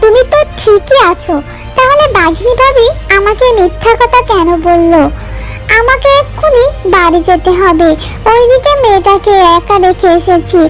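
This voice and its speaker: A woman's voice: very high voice, lively intonation, normal speed, over music, low-quality recording. A woman playing a caring, easily worried mother fox in a cartoon, earnest and motherly.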